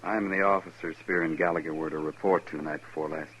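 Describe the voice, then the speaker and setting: A man playing an earnest, worried Army lieutenant, polite and guarded, with a husky voice in 1950s radio western style. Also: rumbling low, fairly even intonation, fast talker, a bit bright, highs cut off, slight background noise.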